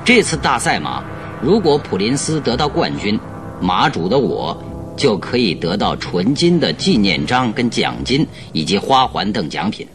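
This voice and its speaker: Animated, masterful detective in radio drama. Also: male voice, on the low side, moderate pace. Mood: confident, expository. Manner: masterful, courteous, expository. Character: confident male detective expounding his deductions. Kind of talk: Chinese radio drama acting. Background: background music.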